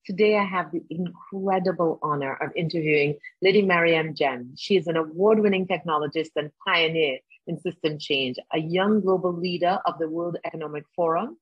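Husky-voiced woman hosting a podcast. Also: lowish voice, moderate pace, few pauses, no room sound. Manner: polished, scripted introduction. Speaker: podcast host. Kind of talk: podcast interview. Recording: dry, dull lo-fi audio.

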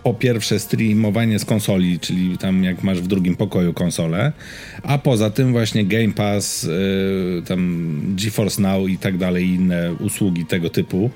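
A man in a casual tech and gaming chat, speaking haltingly and often stammering and restarting his words. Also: low-pitched, a little mellow, faint music behind. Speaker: podcast co-host.

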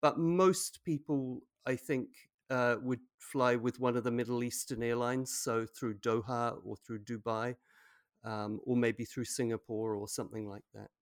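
A New Zealand man talks in a relaxed, explanatory way, often pausing on "um". His voice sits at an ordinary middle pitch. The sound is dry and close, with no room around it. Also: no echo. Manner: relaxed, explanatory, frequent ums. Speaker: guest, English language teacher. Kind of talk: casual conversation.

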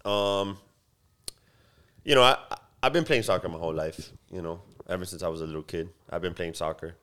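A young man with a deep, smooth and resonant voice sits in as a podcast guest. His pitch sits a little low, and he talks loosely, full of "you know" and restarts. The talk is casual and unhurried. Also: pushed and bright. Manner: loose and chatty, many fillers and restarts. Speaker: podcast guest, soccer field owner.

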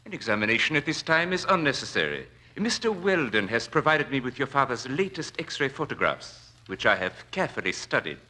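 Casting: suspect society doctor. Very bright, keen voice with swooping pitch, formal, commanding, 1940s radio manner. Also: male voice, big pitch swings. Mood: courteous, assured. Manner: formal, measured, persuasive, commanding. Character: smooth, domineering doctor, suspected charlatan. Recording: vintage radio drama recording.

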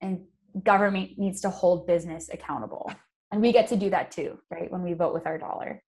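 A woman's voice: lowish voice, brisk, darkish tone. A woman who is a podcast guest and environmental advocate, explaining her views in an earnest, emphatic way. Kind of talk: casual podcast conversation.

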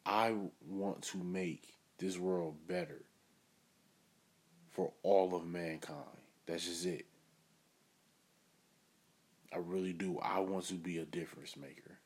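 Deep-voiced man preaching self-motivation. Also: steady tone, slow pace, pauses often, husky. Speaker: podcast host. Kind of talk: solo podcast monologue.

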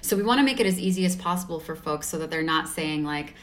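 A woman's voice: low voice, animated, quick pace. A woman speaking as an interview guest, explaining her organization's work in an articulate, enthusiastic way. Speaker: guest representing an advocacy organization.

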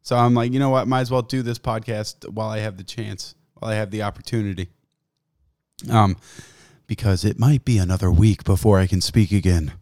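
A man's voice: on the low side, steady tone, fairly quick, darkish tone, no echo, clean studio sound. A young man hosting his own podcast, rambling and cursing casually while sick and losing his voice. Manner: profane, rambling, self-mocking. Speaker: podcast host. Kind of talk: casual solo podcast chat. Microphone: studio mic, close.

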